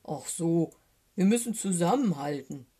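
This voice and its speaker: A woman voicing a gruff, good-natured, gullible male bear in a children's storybook, talking in a plain, naive, grumbly way. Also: fairly low pitch, expressive, unhurried, mellow. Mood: reassuring.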